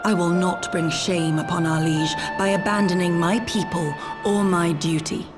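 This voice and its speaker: A woman's voice: lowish voice, fairly even intonation, normal speed, natural voice. A woman playing a dutiful, solemn exiled warrior who speaks formally and resolutely in a video game. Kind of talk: dramatic video game voice acting. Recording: music behind the voice.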